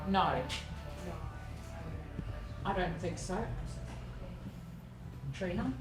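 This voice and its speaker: A curt, defensive woman shop owner speaks from well away from the mic. Her voice bounces around a roomy space. Loud music plays behind her. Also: fairly low pitch, very slow, recorded from a distance. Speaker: business owner. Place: indoor shop.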